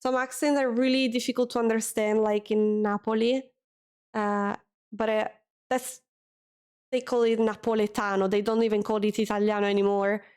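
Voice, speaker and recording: A woman streamer, chatting casually with her viewers, often pausing and correcting herself as she hunts for words. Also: medium pitch, little pitch movement, a little mellow, smooth. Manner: hesitant, searching for words, self-correcting. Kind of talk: casual chat with chat readers.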